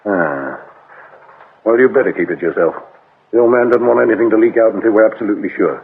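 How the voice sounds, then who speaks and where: An actor playing a brusque, sarcastic, middle-aged English detective inspector in a radio drama, grumbling and curt. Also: male voice, lowish voice, fast talker, creaky. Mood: terse. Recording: muffled lo-fi radio recording with hiss.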